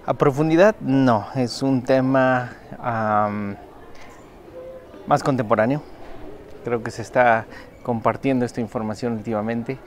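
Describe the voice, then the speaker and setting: Guy in a documentary interview, average pitch, thinks it through in halting bits, slight background noise. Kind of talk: reflective interview answers. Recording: faint background ambient noise.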